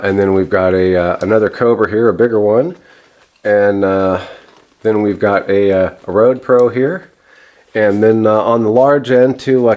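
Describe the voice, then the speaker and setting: Low-voiced man, casual and rambling. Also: a little mellow. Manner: rambling, hesitant, informal. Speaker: host of a CB radio hobby channel. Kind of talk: casual product test walkthrough.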